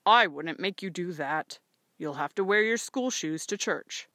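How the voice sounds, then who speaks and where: A stern but fair farm father from a storybook, voiced by a woman. The delivery is measured and calm, with quiet firmness in a close, dry sound. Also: lowish voice, no echo. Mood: firm. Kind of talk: audiobook character voice.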